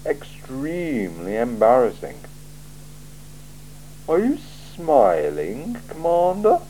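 A cool, sardonic male city ruler, put on by a man reading aloud. He speaks slowly and drily, weighing each word, in a mellow tone that rises and falls widely. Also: mid-range voice, huge pitch swings, slight background noise, voice pushed up, poor audio. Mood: dry. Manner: slow, measured, dry and probing. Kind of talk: audiobook character voice.

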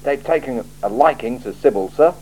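A blunt, wry male watch commander, as a man reading aloud puts him on. His short deadpan replies land with emphasis, in a mellow voice that swings widely in pitch. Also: moderate pace, close to an everyday voice, poor audio. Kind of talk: audiobook character voice.

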